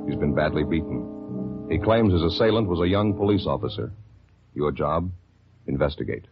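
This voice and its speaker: This is a man announcing on old-time radio. His low voice swings widely with emphatic, dramatic phrasing. Music plays behind him on a dull recording. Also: lively intonation, slightly rough. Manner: dramatic, emphatic, measured delivery. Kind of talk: 1950s radio announcing, scripted.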